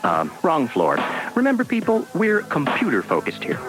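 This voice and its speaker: A man's voice: fairly high pitch, huge pitch swings, normal speed. An actor playing a chatty male elevator operator guiding shoppers in a comic radio commercial. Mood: awkward, brisk. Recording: AM radio ad with music.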